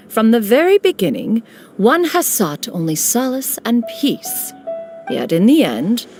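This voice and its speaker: Lofty old immortal master, super formal, says "one" instead of I, voice swoops up and down. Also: female voice, mid-range voice, darkish tone. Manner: stately, archaic speech, calls herself "one". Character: aloof ancient female adeptus master, formal and regal. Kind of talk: video game cutscene dialogue. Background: faint game music.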